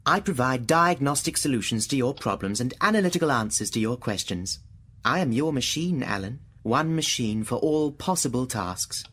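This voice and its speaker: An actor playing an aloof thinking machine in a radio drama, measured, precise and mocking. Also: male voice, medium pitch, expressive, fairly bright tone, breathy edge. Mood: coolly logical. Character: sentient thinking machine, aloof, taunting, coolly logical.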